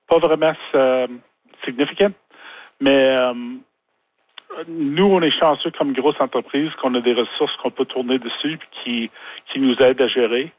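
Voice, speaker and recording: A man from Canada, a business owner, giving a phone interview in a halting way, restarting words and filling pauses. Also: on the high side, dry recording. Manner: halting, many restarts and fillers. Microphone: telephone. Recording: tinny phone line.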